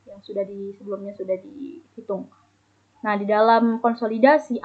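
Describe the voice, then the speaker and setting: A narrow, phone-like recording carries a young woman with a fairly high voice. She gives an explanatory student presentation, moving step by step and sometimes stumbling as she reads out figures. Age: young adult. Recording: muffled, phone-like narrow band.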